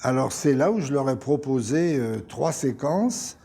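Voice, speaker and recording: A man's voice: mid-range voice, expressive, unhurried, mellow, husky. An older man telling anecdotes in an interview about his work in animation. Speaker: animation writer recalling productions.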